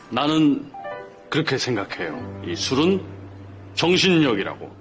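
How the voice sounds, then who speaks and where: A man's voice: on the high side, very animated, slow, over music, full character voice. An actor playing a cocky older man who teases the younger man and boasts about his drinking. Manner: teasing, boastful, casual. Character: cocky older brother-in-law figure bragging about drinking.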